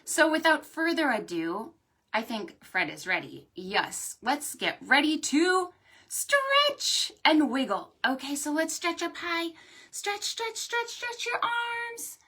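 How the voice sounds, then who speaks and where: A woman hosting a storytime for preschoolers, talking to small children in an animated, sing-song way. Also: high-pitched, takes it slowly. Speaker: children's librarian and storytime host. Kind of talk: preschool storytime with stretches and songs.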